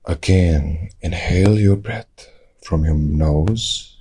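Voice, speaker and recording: A man leading a guided meditation, giving calm, measured instructions in short phrases with long pauses. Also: low voice, breathy edge.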